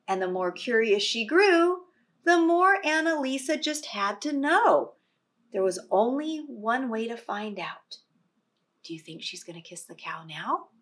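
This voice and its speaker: A middle-aged woman with a high voice reads to kindergarteners at a slow pace. She is expressive, with dramatic stresses, and explains things as a teacher would. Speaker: teacher reading aloud to young children. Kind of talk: expressive picture-book read-aloud with questions to kindergarteners.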